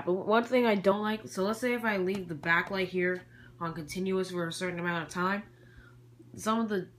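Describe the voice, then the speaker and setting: Someone giving a casual, rambling show-and-tell of a collection, often trailing off and correcting themselves, recorded on homemade camera audio. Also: mid-range voice. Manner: hesitant, trailing off and self-correcting. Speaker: YouTube hobbyist presenting a collection. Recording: homemade camcorder audio with noticeable background noise.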